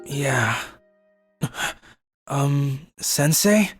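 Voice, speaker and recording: A woman acts a meek, awkward teenage boy telling his own manga story. The voice is low and hesitant, with music clearly playing behind it. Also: animated, very slow, exaggerated voice. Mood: timid, nervous. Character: timid, socially awkward high school boy narrator. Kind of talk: first-person manga story narration.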